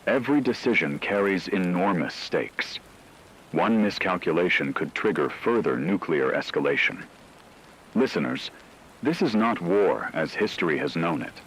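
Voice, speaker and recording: A grave man acting as a radio anchor with a very low voice. He delivers scripted wartime emergency news in a solemn, portentous way. Steady noise and rain sit behind the broadcast. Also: moderate pace, poor audio. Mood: grave, ominous. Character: grave male radio news anchor announcing world war.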